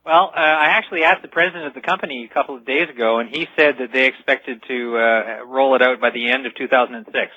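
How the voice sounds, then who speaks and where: A man's voice: medium pitch, fast talker, hardly any pauses, dry recording. A man speaking as a radio show guest, explaining things earnestly in an interview. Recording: slightly dull lo-fi radio call audio.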